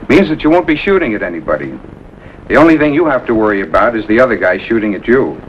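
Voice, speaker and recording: Low-voiced gruff American military instructor. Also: male voice, big pitch swings, fast, a little hiss or hum. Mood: gruff, friendly. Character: gruff but friendly male military instructor and officer. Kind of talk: 1950s American TV drama acting. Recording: muffled 1950s TV soundtrack, loud music.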